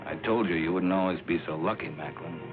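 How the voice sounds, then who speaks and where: A man's voice: deep voice, a little fast, lightly acted. Male actor as jealous noir gangster, taunting and threatening, over music on a dull old film track. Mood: menacing. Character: menacing, jealous male gangster holding a grudge.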